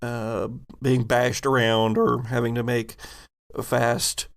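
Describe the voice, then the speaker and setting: A man improvising narration as game master of a tabletop game, descriptive and halting, with pauses mid-sentence. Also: mid-range voice, flat delivery, vocal fry.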